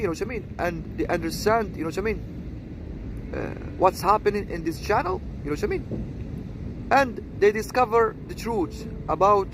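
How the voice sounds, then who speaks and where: A man of about thirty-one with a Moroccan accent, rambling to viewers in halting short phrases and constantly adding tag questions. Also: high-pitched, noisy background. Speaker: seduction coach introducing own channel. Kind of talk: rambling vlog monologue.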